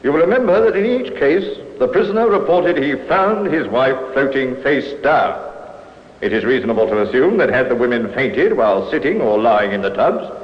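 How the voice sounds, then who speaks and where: An actor playing a methodical Scotland Yard inspector who explains calmly and precisely. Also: male voice, medium pitch, moderate pace, close to an everyday voice. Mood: explanatory. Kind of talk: 1950s radio drama acting. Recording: dull old broadcast in a reverberant room.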